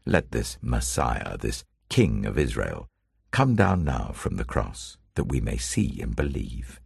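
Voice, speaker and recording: A man voicing hostile, mocking men in a scripture reading, with drawn-out scornful delivery. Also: extremely low pitch, big pitch swings, vocal fry, slightly rough, no room sound, clean studio sound, pitched below the performer's own voice, full character voice. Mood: scornful, jeering. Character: hostile mocking crowd members, priests and soldiers.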